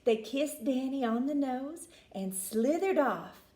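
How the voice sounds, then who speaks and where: A woman tells a children's story in a high, swooping voice. She takes her time and uses expressive, folksy inflection, in a roomy, echoing space. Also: takes it slowly. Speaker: storyteller reading a picture book aloud. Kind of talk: animated children's story reading.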